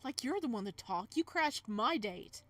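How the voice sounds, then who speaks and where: A woman voicing quarreling, arrogant male suitors in a visual novel, loud and indignant. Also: on the high side, animated, normal speed, no echo.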